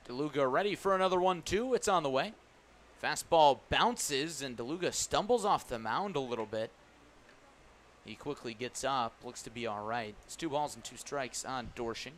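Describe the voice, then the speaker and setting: A man's voice: medium pitch, lively intonation, normal speed. A man doing live play-by-play radio commentary of a baseball game. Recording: live ballpark broadcast with background noise.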